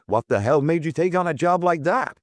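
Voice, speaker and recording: A man's voice: a little high, expressive, fairly quick, dry recording, pitched above the performer's own voice, close to an everyday voice. A man voicing a hearty, jovial old friend with a ribbing manner. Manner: chuckling, ribbing.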